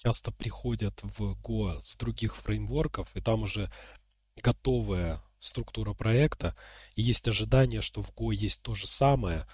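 A man's voice: fairly low pitch, a little slow, a little mellow, husky, no room sound, clean studio sound. A man co-hosting a podcast, explaining technical matters in a casual conversational way. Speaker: podcast co-host. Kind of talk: casual explanatory tech discussion.

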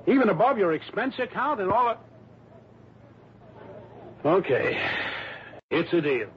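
An actor playing an excitable, grumbling, penny-pinching insurance man, folksy and slow to catch on, in 1960s radio style. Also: high-pitched, breathy edge, heavily acted. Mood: wary, grudging. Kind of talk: 1960s radio drama acting. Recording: dull, noisy vintage radio recording.

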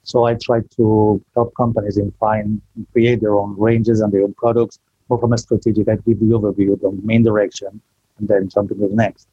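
Guy from spain, english, low mellow voice, a bit husky, dull dry call audio. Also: flat delivery, no room sound. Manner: chatty, self-deprecating, halting restarts. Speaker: guest. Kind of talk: casual online interview.

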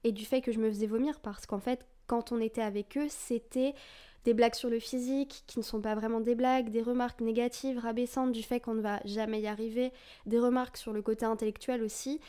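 A woman's voice: a little high, fairly even intonation, fairly quick, mellow. A woman in her twenties from France, hosting a podcast and telling her own story in a candid, confessional, casual way with fillers. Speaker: podcast host. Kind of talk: personal storytelling monologue.

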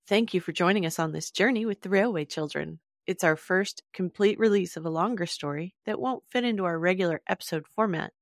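Lower-pitched woman hosting a podcast, dry sound. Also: a little fast, hardly any pauses, no echo. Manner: direct address to listeners. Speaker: podcast host. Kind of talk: scripted outro announcement.

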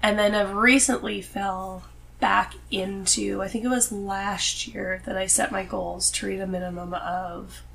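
A woman's voice: mid-range voice, fairly even intonation, measured pace, vocal fry, fairly bright tone. A woman guest on a podcast, chatting casually in loose, informal phrasing. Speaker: podcast guest, host's wife. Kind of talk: casual conversation about reading.

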